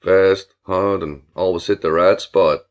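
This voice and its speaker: A man's voice: sits low, steady tone, measured pace, lo-fi sound, voice pushed down. A man voicing a swaggering, menacing gang boss in an audiobook, with a domineering, mock-courteous Southern drawl. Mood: cocky, mock-polite. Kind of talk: audiobook character voice.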